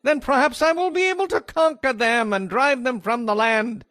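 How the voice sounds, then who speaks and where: A man's voice: extremely high pitch, normal speed, fairly bright tone, no room sound, studio quality. A man playing an anxious messenger of a threatened ancient king, pleading formally in a dramatized scripture reading. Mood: alarmed, pleading. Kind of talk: dramatized scripture audio acting.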